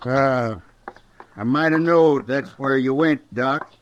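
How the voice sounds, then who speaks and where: An actor playing a hard, self-righteous American cattle rancher, stern and blunt, in an old-time radio western. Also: male voice, mid-range voice, takes it slowly, a little hiss or hum, natural voice. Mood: grim, vengeful. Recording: dull old radio recording.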